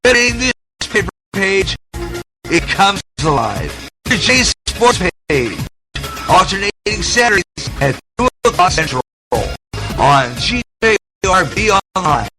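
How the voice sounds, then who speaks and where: A man's voice: high-pitched, big pitch swings, measured pace. Folksy male radio host over music. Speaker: host of an internet radio show. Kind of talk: casual radio host talk and station promos.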